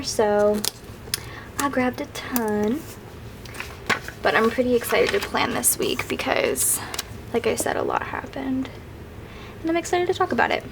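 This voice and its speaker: A woman's voice: on the high side, slight background noise. Chatty sniffly young woman vlogger. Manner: chatty, rambling, upbeat. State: sniffly from crying. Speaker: planner vlogger. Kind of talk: casual craft vlog chat.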